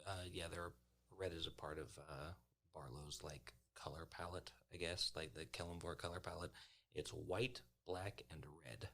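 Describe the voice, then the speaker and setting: A man's voice: deep voice, fairly even intonation, moderate pace, vocal fry, pushed and bright. A man chatting casually as a player in an improvised tabletop game.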